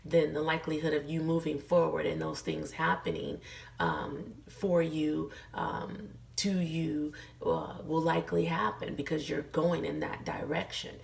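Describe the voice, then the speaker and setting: A middle-aged woman vlogging in a casual, encouraging way while losing her voice, hoarse and full of fillers. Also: sits low, a little slow, far from the mic. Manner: chatty, many fillers, earnest encouragement. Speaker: lifestyle vlogger. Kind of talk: casual motivational talk to camera.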